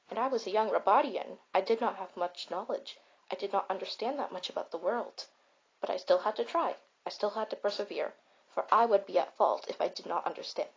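A woman playing a caring but blunt, self-important robot in a comfort roleplay, speaking in a deliberate, declamatory way. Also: mid-range voice, breathy edge. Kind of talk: comfort roleplay monologue with storytelling. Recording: slightly dull, raw home recording.

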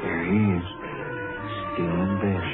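A man's voice: deep voice, little pitch movement, unhurried. A man playing a plain-spoken, matter-of-fact country sheriff in a 1950s radio western, laconic and folksy. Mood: low, watchful. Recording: muffled vintage radio recording, faint music.

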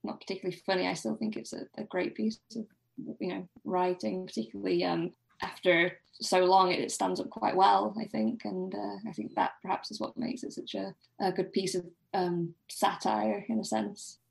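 A woman's voice: medium pitch, fairly even intonation, moderate pace, gravelly, rough recording. A woman guest on a podcast, discussing literature casually in a hesitant, reflective way with many hedges.

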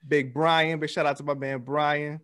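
A man's voice: fairly high pitch, little pitch movement, moderate pace, a bit bright, smooth. An American man hosting a podcast in casual chat, slangy and laid-back. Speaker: podcast host. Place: indoors, remote video call.

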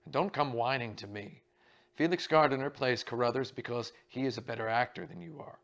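A man voicing a coarse, domineering older male theater magnate who talks bluntly in a rumbling voice. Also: a little fast, a bit bright, natural voice. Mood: gruff.

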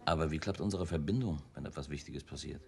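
A man's voice: a bass voice, fast, vocal fry. An actor playing an easygoing, wry American man in his forties in a radio crime drama, breezy and dryly joking. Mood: easygoing, confident. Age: middle-aged.

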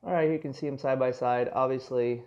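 A man's voice: mid-range voice, steady tone, a little fast, a little mellow, highs cut off. A man giving a casual hands-on product review indoors at home, explaining in a conversational way with many asides.

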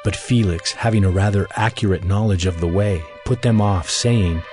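A man's voice: lowish voice, moderate pace, a little mellow, faint music behind. A man narrating dramatized scripture as a scripted reading.

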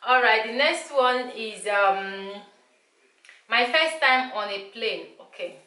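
A woman's voice: a little high, expressive, takes it slowly, sharp tone. A woman in her thirties with a Nigerian accent, whose first language is Igbo, chatting casually to camera in a small room and repeating herself often. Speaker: vlogger. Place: small bright room indoors. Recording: echoey room.

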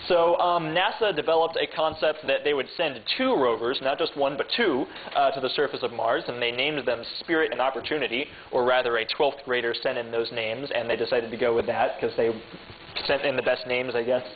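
A man's voice: medium pitch, brisk, slight background noise. Young man giving a loose classroom talk. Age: young adult. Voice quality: loud voice. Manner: loose and chatty, many fillers. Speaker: club speaker. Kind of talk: informal slide talk. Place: classroom with projector screen. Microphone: handheld mic. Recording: tinny lo-fi, far from camera.

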